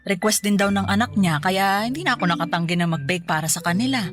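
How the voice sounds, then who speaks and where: An affectionate middle-aged Filipino mother acted by a woman in a radio drama. Her voice is medium in pitch, conversational and gently teasing, over faint music. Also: fast talker, close to an everyday voice. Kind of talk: Tagalog radio drama acting.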